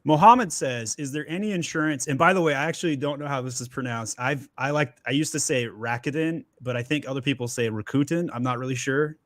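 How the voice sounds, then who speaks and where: A man's voice: mid-range voice, brisk, few pauses, a bit bright, no room sound. Talkative host guy, joking around, dry close sound, mid voice. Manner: chatty, jokey, laughs easily. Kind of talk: live Q&A hosting.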